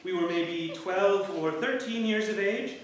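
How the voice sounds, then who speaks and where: A man acting a devoted, gently playful husband from early modern England in a staged play reading, earnest and tender in delivery. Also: high-pitched, a little slow. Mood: fond, nostalgic. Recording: roomy theatre, distant mic, faint noise.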